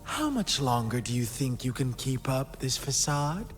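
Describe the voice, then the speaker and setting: An actor playing a sinister, mocking cult overseer in a video game, speaking in a theatrical, smugly courteous way. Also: male voice, medium pitch, big pitch swings, a little slow, big-room sound, faint music behind. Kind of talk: dramatic video game voice acting.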